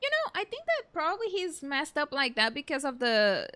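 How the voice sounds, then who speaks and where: High-pitched Brazilian woman streaming a game. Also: huge pitch swings, normal speed, bright, forceful tone. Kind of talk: casual let's-play commentary. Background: video game music.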